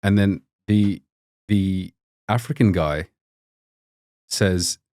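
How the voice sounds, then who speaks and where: Deep-voiced man, rambling chat. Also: drawn-out words, a little mellow, no room sound, studio quality. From Australia. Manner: rambling, many restarts and fillers. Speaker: podcast guest, doctor. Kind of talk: casual storytelling chat.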